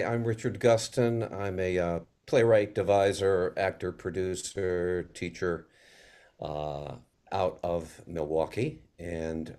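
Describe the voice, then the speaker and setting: A man speaking haltingly and repeating himself as he introduces himself as a guest on a casual online panel. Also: sits low, a little mellow. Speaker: panel guest, playwright and teacher.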